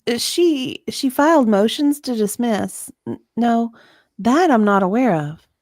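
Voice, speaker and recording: A woman playing a hesitant, forgetful witness in a dramatic reading of a legal deposition, halting and often trailing off. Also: a little high, lively intonation, a little mellow, dry recording, studio quality. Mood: unsure.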